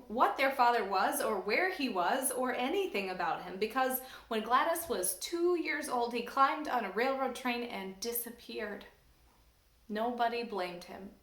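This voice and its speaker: Woman church staff member, high voice, animated storybook reader, roomy echo. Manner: animated, expressive reading. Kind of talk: storybook read-aloud.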